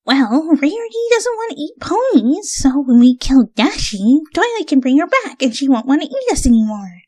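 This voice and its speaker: A woman voicing a hyper, cheerful young female cartoon pony with a bubbly, excitable manner. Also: high-pitched, normal speed, no echo, studio quality, pitched above the performer's own voice.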